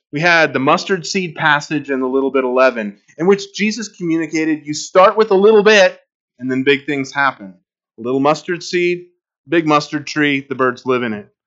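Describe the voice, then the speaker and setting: A man's voice: on the high side, fairly bright tone, lo-fi sound. A middle-aged man preaching a sermon as a pastor, telling stories in a conversational way. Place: church sanctuary.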